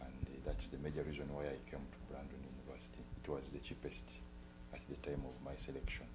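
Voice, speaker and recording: A man from Uganda, an international student answering interview questions in a halting way with many pauses. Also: a bass voice, completely flat delivery. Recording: noisy hum in the background.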